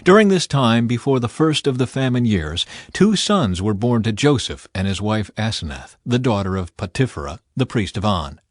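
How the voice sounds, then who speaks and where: A man reading scripture as an audiobook narrator, in a measured, expressive way with clear phrasing. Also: lowish voice, dry recording, clean studio sound.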